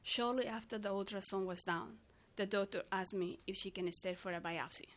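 A woman's voice: mid-range voice, steady tone, moderate pace, fairly bright tone. A woman from Peru, a native Spanish speaker with a Peruvian accent, giving earnest testimony to a committee as an outreach worker. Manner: earnest, non-native phrasing.

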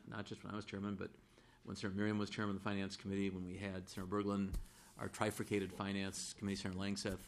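Hoarse middle-aged man debating in a legislature. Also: lowish voice. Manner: deliberate, supplementing a colleague's point. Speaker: state senator.

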